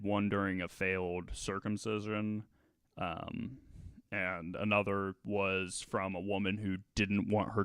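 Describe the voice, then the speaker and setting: Male podcast host, true-crime storyteller. Very unhurried, halting, clean resonant tone. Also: lowish voice, smooth, no room sound, studio quality.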